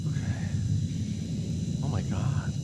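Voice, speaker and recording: A man's voice: takes it slowly, heavy background noise, natural voice. A man acting a frightened, bewildered fugitive in a horror series, exclaiming and muttering to himself. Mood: wary, bewildered. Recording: music and rumbling behind the voice.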